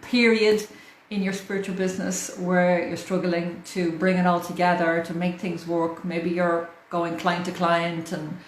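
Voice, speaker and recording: A talkative Irish woman in her forties chats casually to her viewers on a livestream, laughing easily as she goes. Her voice sits a little low. The room is echoey, with a faint fan humming behind her. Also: little pitch movement, measured pace, slight background noise. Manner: chatty, warm-hearted, laughs often. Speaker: host. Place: hot room in a house. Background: fan running.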